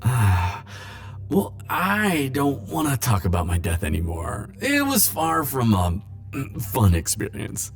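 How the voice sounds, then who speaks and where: A man playing a defensive, lovelorn immortal in an ASMR roleplay, speaking hesitantly with stammers and halting phrases. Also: medium pitch, wildly swooping pitch, gravelly, soft background music, heavily acted. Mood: defensive, flustered. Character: flirtatious, defensive male immortal pining for Grim Reaper. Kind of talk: ASMR roleplay monologue to the listener.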